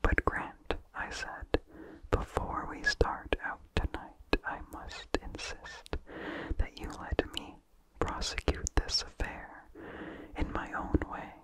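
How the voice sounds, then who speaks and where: Very slow, unvoiced ASMR whisper. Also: rough recording. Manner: slow, hushed, deliberate phrasing. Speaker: narrator reading a vintage horror story. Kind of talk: ASMR whispered story reading.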